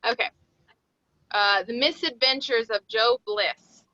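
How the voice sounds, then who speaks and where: A woman's voice: a little high, slow pace, bright, forceful tone, no echo, dull audio. A woman narrating stage directions at a screenplay table read.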